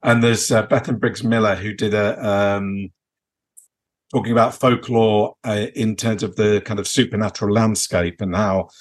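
A British man co-hosting a podcast in casual, unscripted chat, with a halting, rambling way of building his sentences. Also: on the low side, fairly even intonation. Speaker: podcast co-host.

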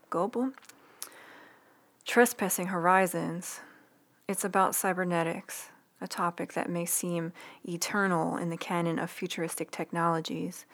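A woman reads a personal essay aloud in an ASMR read-along style, soft, unhurried and intimate, with audible breath and lip sounds. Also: lowish voice, gravelly. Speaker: writer reading own newsletter aloud. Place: quiet room indoors. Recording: quiet room hiss, close breath sounds.

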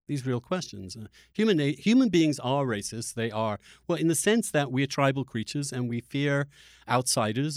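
A man speaks as the host of an interview podcast, in a medium-pitched voice with no room sound around it. He is articulate and conversational. His phrasing halts now and then before he lands firmly on a chosen word. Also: no room sound, clean studio sound.

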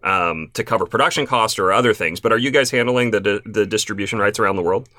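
A man from the United States interviewing as a podcast host, conversational and halting as he rephrases his questions. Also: lowish voice, bright, forceful tone, dry recording, clean studio sound.

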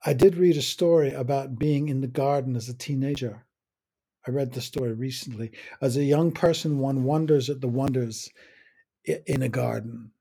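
Soft-spoken older man musing slowly. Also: medium pitch, gravelly, slightly rough. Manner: measured, musing, with long pauses between short phrases. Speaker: podcast host. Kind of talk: reflective personal monologue.

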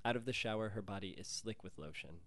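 Male author-reader, low register, scripted short fiction narration. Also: quick pace, a bit bright, a little hiss or hum. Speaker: author reading own short story.

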